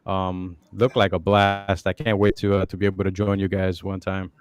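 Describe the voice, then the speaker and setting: Flat, smooth-voiced male co-host. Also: deep voice, normal speed. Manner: steady, explanatory, many fillers. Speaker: podcast co-host. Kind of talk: casual explanation.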